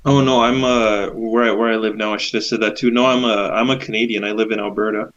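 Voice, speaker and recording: A man's voice: on the low side, fairly even intonation, fast, fairly bright tone, muffled recording. A man from Romania co-hosting a casual gaming podcast. He talks in a laid-back, hesitant way and often hedges. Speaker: podcast co-host.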